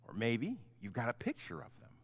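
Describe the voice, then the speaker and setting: A middle-aged man, a pastor, giving an informal, halting children's message in simple, conversational words. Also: mid-range voice, huge pitch swings. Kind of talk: gentle children's sermon, informal storytelling.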